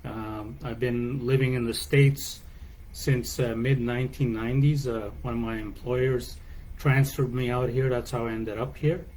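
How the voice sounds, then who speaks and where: A man from Canada answering interview questions in a measured, relaxed way from a hotel room. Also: medium pitch, slight background noise, poor audio. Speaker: guest.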